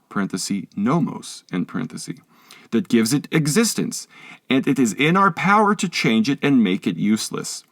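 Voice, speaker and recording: A man delivers scripted audiobook narration with a lively, widely moving pitch. His reading is measured and instructive, and the sound is dry and close, free of room echo. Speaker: audiobook reader.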